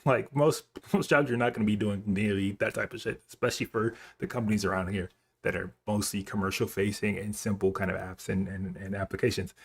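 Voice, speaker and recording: A man's voice: fairly low pitch, steady tone, a little fast, creaky, slightly rough. A man livestreaming in an informal, slangy way, thinking aloud as he chats with viewers. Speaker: live coding streamer. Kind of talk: casual livestream chat, reading and answering viewer comments.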